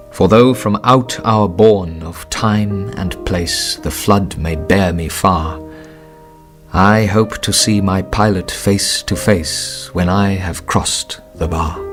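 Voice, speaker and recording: Slow, deep man reading a poem. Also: fairly even intonation. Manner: slow, grave and deliberate. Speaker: reader at a funeral. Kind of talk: poetry reading over music. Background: guitar music.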